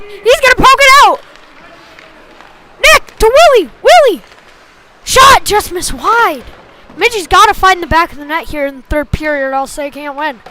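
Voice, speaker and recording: Woman calling hockey live, high bright voice, short choppy calls, gets excitable, bit of background noise. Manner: excitable on big plays, clipped short phrases. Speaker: play-by-play hockey commentator. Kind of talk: live sports commentary.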